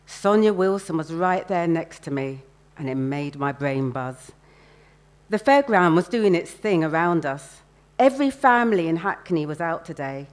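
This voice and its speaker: A woman's voice: on the low side, expressive, normal speed. A woman, an author reading first-person fiction aloud expressively at a live event.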